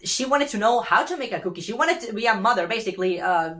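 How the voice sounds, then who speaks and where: A woman's voice: mid-range voice, expressive, fast talker, a bit bright. A woman in a casual, rambling rant, retelling a story with sarcastic, sweary exclamations.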